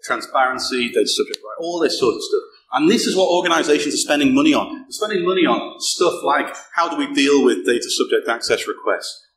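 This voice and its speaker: A man's voice: mid-range voice, lively intonation, fairly quick, few pauses, fairly bright tone. Man giving a talk in an echoey room. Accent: non-local British accent. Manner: conversational, frequent restarts and repeated words. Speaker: conference speaker. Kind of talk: technical conference talk. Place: small darkened event room, indoors. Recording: echoey room, faint background hum.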